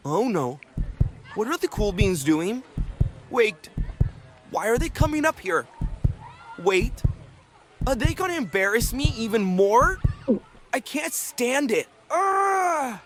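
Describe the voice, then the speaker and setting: An anxious, eager little cartoon bean, acted out by a woman for a children's storybook. The delivery is theatrical and swoops widely, with unhurried, drawn-out timing. There is faint noise in the background. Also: fairly high pitch, very animated, fairly bright tone, pitched above the performer's own voice, heavily acted. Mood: panicked, nervous. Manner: theatrical, hesitant, exaggerated. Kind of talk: animated children's read-aloud.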